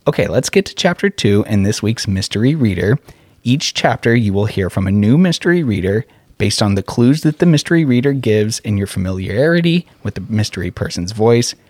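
A man with a somewhat low voice delivers scripted podcast announcements. He speaks as the host, and the sound is dry, with very little room echo. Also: darkish tone.

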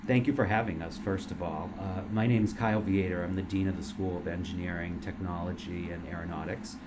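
Very low-voiced middle-aged man, earnest. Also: fairly even intonation. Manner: earnest and measured, with filler uhs. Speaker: engineering school dean. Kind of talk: casual interview answers. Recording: noisy room recording.

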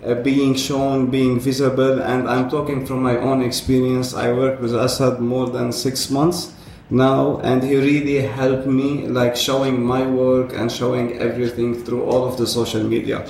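Flat-voiced man hosting a podcast. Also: mid-range voice, unhurried. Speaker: podcast host. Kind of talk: interview podcast. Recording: roomy echo with some background noise.

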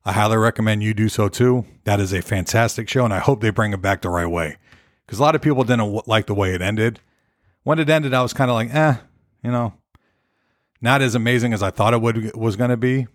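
A hoarse man hosting his own podcast, chatting loosely and rambling from topic to topic. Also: fairly low pitch, fairly quick, dry recording, clean studio sound. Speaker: podcast host. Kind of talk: casual solo podcast rambling. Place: Nashville.

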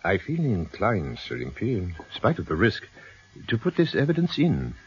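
A man's voice: on the low side, wildly swooping pitch, normal speed, husky, heavily acted. A man playing a fussy, courteous English family solicitor with an upper-class accent in a radio drama. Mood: cautious. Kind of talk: BBC radio drama acting. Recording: dull archive radio recording.

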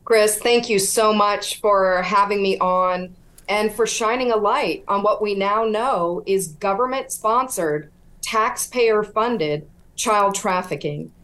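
A woman's voice: mid-range voice, slow, fairly bright tone. A middle-aged woman, a guest on an interview podcast, telling her story in an earnest, detailed way. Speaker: whistleblower guest.

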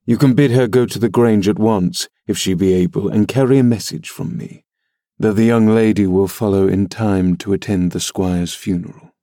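A man's voice: lowish voice, normal speed, dark tone, no echo, clean studio sound, natural voice. A man voicing a cold, scheming master of the house who gives curt orders. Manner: curt, commanding.

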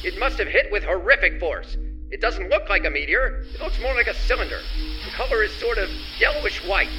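Man playing an excitable high-voiced radio field reporter. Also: brisk, over music, rough recording. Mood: earnest, amazed. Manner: earnest, dramatic. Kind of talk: old-time radio drama reporting.

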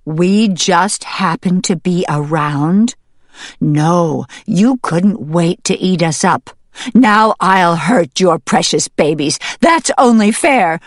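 A woman's voice: on the low side, lively intonation, slow, no echo, clean studio sound. Lady narrator as old storybook hen mom, weary but fierce, firm emphatic words, takes her time. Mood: bitter, threatening. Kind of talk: audiobook character voice.

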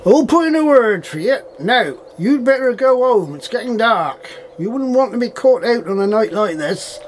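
A man's voice: very high voice, animated, normal speed, slight background noise. A man playing a suspicious, chatty, old-fashioned farm manager with a rural English accent and folksy dialect in an audio drama. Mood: warning. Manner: folksy dialect, addresses others as young lad.

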